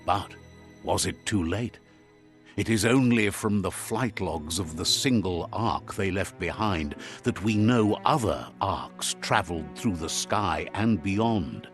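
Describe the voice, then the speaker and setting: A man narrating a game's story calmly, like a storybook, and relaying what a creature says. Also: low voice, slow pace. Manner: calm storyteller, translating a creature's speech. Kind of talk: scripted storybook narration for a game. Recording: game audio with faint music behind.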